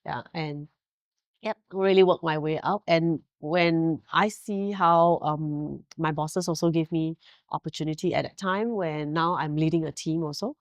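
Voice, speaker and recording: Low-voiced Singaporean woman recounting her career. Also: fairly even intonation, unhurried, no echo. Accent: Singaporean English. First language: Mandarin. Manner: warm storytelling with frequent laughs and fillers. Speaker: guest, hotel director. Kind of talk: casual interview.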